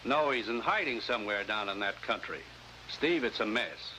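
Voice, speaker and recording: An actor playing a brisk, authoritative commissioner in a 1950s spy drama, clipped and urgent. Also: male voice, lowish voice, lively intonation, sharp tone. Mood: urgent, commanding. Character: brisk, commanding male spy agency commissioner. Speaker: actor in a supporting role. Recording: faintly noisy vintage 1950s broadcast recording.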